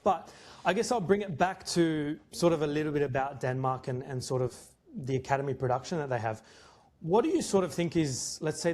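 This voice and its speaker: Medium-pitched young man hosting a casual podcast. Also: a little mellow. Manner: informal, chummy, affirming interjections. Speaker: podcast host.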